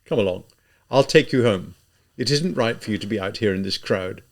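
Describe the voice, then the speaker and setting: A man voicing an anxious, kindly male civil servant, speaking in a low, worried murmur. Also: moderate pace, lightly acted. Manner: hushed, worried muttering.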